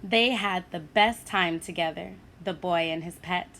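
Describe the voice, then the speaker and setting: A woman teacher reading a picture book aloud to children, animated and asking her listeners questions. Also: lowish voice, measured pace, a little hiss or hum.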